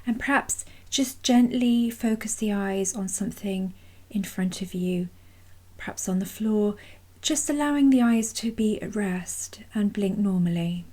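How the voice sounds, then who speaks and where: A woman leading a guided meditation in a soft, breathy, husky voice, gently and without hurry, leaving long pauses. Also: medium pitch. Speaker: mindfulness practice guide. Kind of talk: guided body scan meditation.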